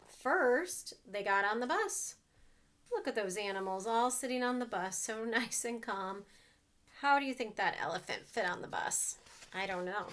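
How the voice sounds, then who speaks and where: A woman reading a picture book aloud to children, gently pausing to ask them questions about the pictures. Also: on the high side.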